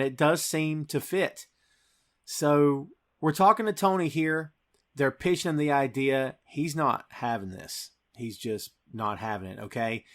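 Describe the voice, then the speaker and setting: A man hosting a podcast, chatting casually about movies in an animated, emphatic, slangy way. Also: medium pitch. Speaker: podcast host. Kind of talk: casual movie review chat.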